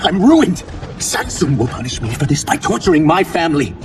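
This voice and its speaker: A man's voice: lowish voice, animated, a little slow, noisy background. A man playing a guilt-ridden, desperate enslaved shapeshifter in a video game, earnest and pleading. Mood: desperate anguish. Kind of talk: dramatic video game acting. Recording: distant, under loud cinematic music.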